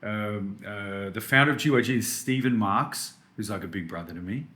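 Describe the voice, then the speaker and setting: A man's voice: fairly low pitch, normal speed, bright, forceful tone. A man in his thirties from Australia with an Australian accent, a podcast guest chatting casually and enthusiastically, full of restarts and fillers. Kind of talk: casual interview chat.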